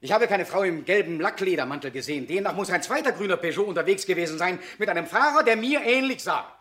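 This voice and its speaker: A man's voice: sits high, expressive, quick pace, fairly bright tone. An actor playing a troubled, insistent accused man in a crime radio drama. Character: accused male driver Latour, troubled, insistent, indignant.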